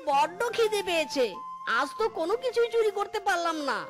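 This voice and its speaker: A greedy little cartoon thief, sly and scornful. The voice is extremely high and swoops up and down, over loud music. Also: female voice, animated, brisk. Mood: scheming, grumbling. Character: sneaky, greedy animal thief, gleeful and scornful. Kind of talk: Bengali animated fairy-tale cartoon dialogue.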